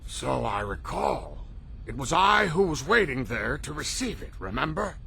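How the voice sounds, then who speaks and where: A man's voice: medium pitch, sing-song, measured pace, gravelly, sharp tone, slight background noise, exaggerated voice. A man playing a cranky, haughty alien elder in a cartoon video game, speaking in a stern, scolding, formal way.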